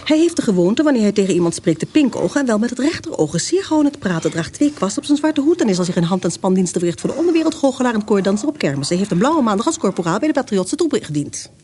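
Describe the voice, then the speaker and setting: A woman in a Dutch radio play, deliberately reading out a detailed description. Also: medium pitch, big pitch swings, mellow, natural voice. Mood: descriptive. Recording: slightly noisy radio play recording.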